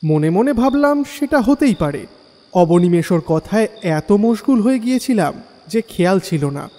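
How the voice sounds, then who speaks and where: Mellow male narrator in long reverb. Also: high voice, expressive, normal speed. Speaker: story narrator. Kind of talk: scripted horror narration, first person. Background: faint music.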